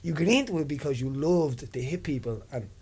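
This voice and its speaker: Slightly high-voiced man hosting a chat. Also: a little fast, darkish tone, husky. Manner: stammering restarts, laughs often. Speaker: host. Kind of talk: casual chat, interviewing.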